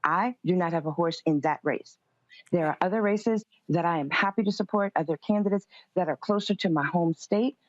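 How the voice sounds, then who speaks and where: A woman with a lowish voice answers as an interview guest. She is measured and firm, placing her points deliberately, and the sound is dry and somewhat dull. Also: dry recording. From United States. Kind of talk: live political interview answers.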